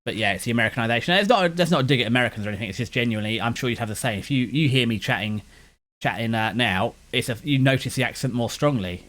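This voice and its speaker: A man's voice: mid-range voice, very fast, a bit bright. A man from West London with a London accent and a slight lisp, chatting casually and rambling, his words blurring together as he restarts his sentences. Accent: London English. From West London, England. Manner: meandering with frequent restarts. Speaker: anime channel host.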